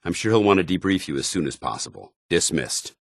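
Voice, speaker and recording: An actor playing a stern, loyal, zealous soldier in a video game, speaking gruffly with earnest, clipped military formality. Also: male voice, deep voice, very animated, a little fast, no room sound, clean studio sound, exaggerated voice. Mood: approving, formal. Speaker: companion soldier character.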